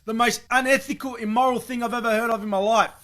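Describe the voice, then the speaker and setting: A man's voice: very high-pitched, fairly even intonation, fast talker, sharp tone. A man on an angry, profane rant, indignant and emphatic.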